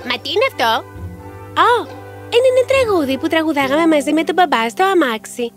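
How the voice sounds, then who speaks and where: A woman's voice: sits high, expressive, music in the background. A woman voicing a proud, inventive young girl devoted to her father in a cartoon fairy tale. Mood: cheerful.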